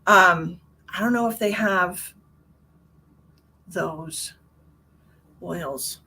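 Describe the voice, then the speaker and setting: A woman hosts a casual livestream from her car, her voice bright and forward. She rambles in a chatty way and answers viewers' comments as they come in, sounding a little stuffy. A faint hiss of noise sits under her voice. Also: on the low side, drawn-out words, rough recording. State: stuffy nose. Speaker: tarot reader hosting a livestream.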